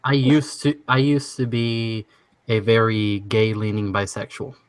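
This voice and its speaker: A young man from Washington state hosting a casual online chat, easygoing and quick to laugh as he reads quiz statements. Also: fairly low pitch, level tone, no room sound. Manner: easygoing, laughs often, halting restarts. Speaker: stream host.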